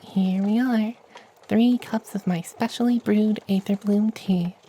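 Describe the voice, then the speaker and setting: A performer voicing a kindly, doting femboy witch in a hushed, affectionate ASMR roleplay. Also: medium pitch, steady tone, unhurried, a little hiss or hum, natural voice. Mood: mystical, proud.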